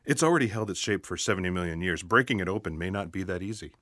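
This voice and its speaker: Man playing an earnest, low-voiced investigator. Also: fast, fairly bright tone. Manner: earnest, wordy, formal explaining. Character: earnest, stubborn male investigator, logical and wordy. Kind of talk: sci-fi comedy audio drama acting.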